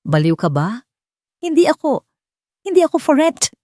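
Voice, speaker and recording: A woman's voice: high voice, big pitch swings, moderate pace, darkish tone, no echo, clean studio sound, pitched above the performer's own voice. A woman voicing a frightened but defiant young working woman, determined and incredulous, in a romance story.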